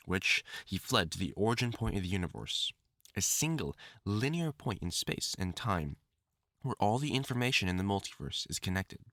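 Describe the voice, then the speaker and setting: Man playing a lovesick, deep-voiced supervillain. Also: sharp tone, dry recording, studio quality. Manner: formal, theatrical diction, tender endearments breaking into anguished outbursts. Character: lovesick, obsessive male supervillain scientist, unstable and pleading. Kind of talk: dramatic audio roleplay monologue addressed to the listener.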